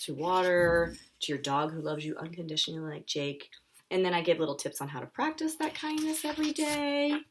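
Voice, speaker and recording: A woman, an author, reading her children's picture book aloud and talking it through for a young audience, with expressive delivery. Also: sits low. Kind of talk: live children's story time reading and friendly explanation.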